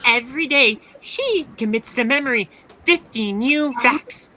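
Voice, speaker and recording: A woman's voice: sits high, animated, unhurried, sharp tone, full character voice. A woman playing a flustered, showy music hall announcer in a 1930s radio play, declaiming grandly. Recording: faint background noise, video-call audio.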